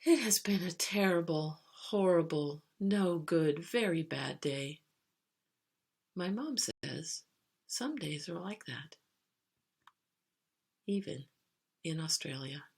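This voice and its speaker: Woman storybook reader, lowish husky voice, expressive and emphatic read-aloud for children. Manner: dramatic, emphatic storytelling with drawn-out words.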